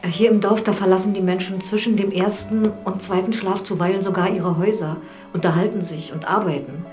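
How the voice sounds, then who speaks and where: Actress as a hesitant village housekeeper. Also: female voice, mid-range voice, fairly even intonation, fairly quick, darkish tone, faint music behind, close to an everyday voice. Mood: deferential, confiding. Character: deferential, curious village housekeeper to a priest. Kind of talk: radio drama dialogue.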